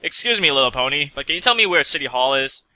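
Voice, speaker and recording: A man's voice: on the high side, lively intonation, fast talker, pushed and bright, no room sound, low-quality recording. A man playing a polite, slightly awkward stranded mechanic in a story reading, with a hesitant manner. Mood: polite, unsure.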